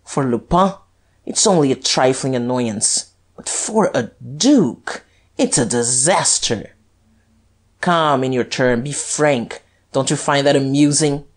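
Woman playing a taunting, vengeful male police detective, husky. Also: very deep voice, unhurried, full character voice. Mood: savage triumph. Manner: mocking, taunting, excitable. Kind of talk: dramatized audiobook reading.

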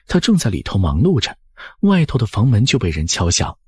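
A man's voice: lowish voice, wildly swooping pitch, a little fast, darkish tone, breathy edge, no room sound. A man narrating an audiobook in a scripted storytelling style.

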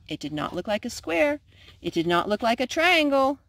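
A woman's voice: on the high side, sing-song, normal speed, a bit bright, no room sound. A woman reading a children's picture book aloud at storytime. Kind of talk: read-aloud storytime narration.

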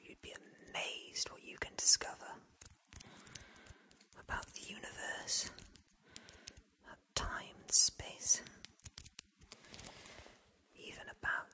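Eccentric time-travelling inventor played by a man, ASMR roleplay. Whispered, slow, deliberate, confident, sharp sibilant edge. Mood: reassuring, intent. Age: 30s. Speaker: ASMR performer.

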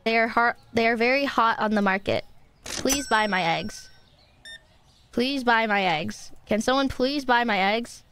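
A young woman chatting casually through a live game stream with a fairly high voice. She grumbles and jokes at her viewers, playful and quick to get exasperated. Her talk stays loose and unscripted. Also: fairly bright tone, a little hiss or hum. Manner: playful, easily exasperated, talks to chat.

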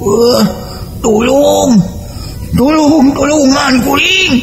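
A man's voice: very high voice, very slow, creaky, reverberant, faint music behind, pitched above the performer's own voice, heavily acted, rough recording. A man voicing a desperate male were-boar who wails and pleads for help in a folk tale. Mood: desperate pleading. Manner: wailing, pleading.

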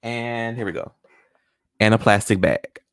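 A man's voice: fairly low pitch, fairly even intonation, unhurried, clean tone. Man explaining a science demo. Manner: friendly, explains step by step. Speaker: guest scientist demonstrating experiment. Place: small home room. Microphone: studio condenser mic with pop filter and headphones.

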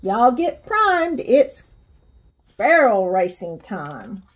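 A woman's voice: on the high side, big pitch swings, drawn-out words, exaggerated voice. A woman voicing a rousing male rodeo announcer with hearty, folksy calls.

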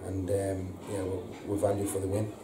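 Man in his thirties, post-match manager, hesitant and repetitive, low even voice, far mic in an echoey noisy room. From England. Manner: halting, repeats words, frequent ums. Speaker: football manager. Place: indoor press room before a sponsor board. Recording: echoey room, distant mic, audible hiss.

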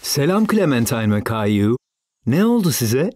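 A man's voice: mid-range voice, sing-song, a little slow, a little mellow. A man playing a friendly, easygoing and encouraging father of young children in a cartoon dub.

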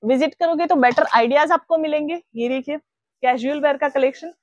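A woman in her thirties giving a persuasive sales pitch on a tour of a large clothing store, listing her stock one item after another. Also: a little fast, highs cut off, slight background noise. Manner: persuasive, listing wares steadily. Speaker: shop representative.

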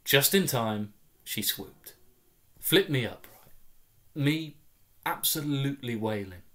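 A man in his twenties reads a comic story at an unhurried pace, with a medium-pitched voice. He is wry and deadpan, breaking sentences into short, clipped phrases. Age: 20s. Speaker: story reader. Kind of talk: dramatic first-person comic story reading. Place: small room at home, indoors.

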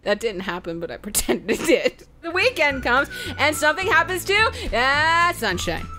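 A woman's voice: high-pitched, very animated, moderate pace. A woman telling a comedic story of a game session, playful and profane, acting out the quotes as she goes. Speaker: storyteller, let's play host. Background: background music.

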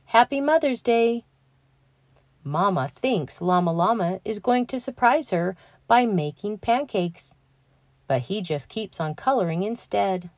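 A young adult woman reading a children's picture book aloud, gentle and clear, lifting her voice for the characters. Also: mid-range voice, expressive, unhurried, dry recording. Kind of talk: read-aloud storytelling.

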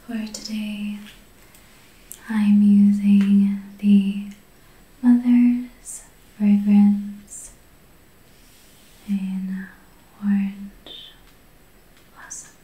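Whispery, breathy woman doing soft ASMR. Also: medium pitch, level tone, echoey room, rough recording. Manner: gentle, soothing, unhurried guiding. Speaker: ASMR Reiki practitioner and host. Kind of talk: soft-spoken ASMR guided healing session.

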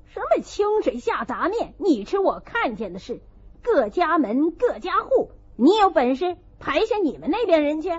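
A woman's voice: sits high, big pitch swings, moderate pace, darkish tone, muffled recording, pitched above the performer's own voice. A woman voicing a surly, tipsy old servant woman who snaps dismissively and quarrels in an audiobook story. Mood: angry. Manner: dismissive, snapping.

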